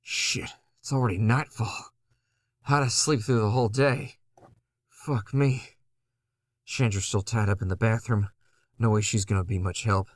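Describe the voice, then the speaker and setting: A man's voice: fairly low pitch, steady tone, measured pace, long pauses. A man playing a battered, foul-mouthed undead antihero, telling his story in a wry, conversational way in a horror audio drama. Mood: frustrated, urgent. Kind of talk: first-person horror audio drama narration.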